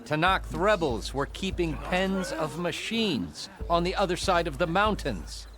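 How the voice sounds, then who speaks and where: An actor playing a stern, weary town magistrate in a video game, formal, measured and dryly amused. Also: male voice, on the high side, big pitch swings. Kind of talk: video game cutscene acting.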